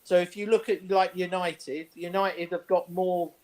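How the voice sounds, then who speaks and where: A man's voice: sits high, steady tone, unhurried, a little mellow. A man on a sports panel, chatting casually in a jokey, teasing way.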